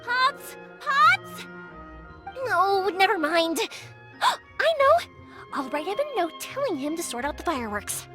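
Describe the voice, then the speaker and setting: A woman voicing a cheerful, energetic young fireworks-maker in a video game, bubbly and quick to change topics. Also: very high-pitched, heavily acted. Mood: upbeat. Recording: game soundtrack music behind.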